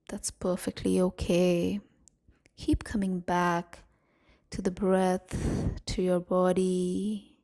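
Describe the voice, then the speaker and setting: A woman leading a guided meditation in a soothing, gentle manner, leaving long pauses between short phrases. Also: on the low side. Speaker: meditation guide and series host.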